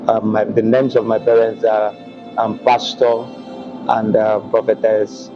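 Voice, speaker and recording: A male prophet from Gabon answering interview questions in a measured way, pausing often with ums. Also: fairly low pitch, level tone, muffled recording, music in the background. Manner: measured, hesitant with many ums.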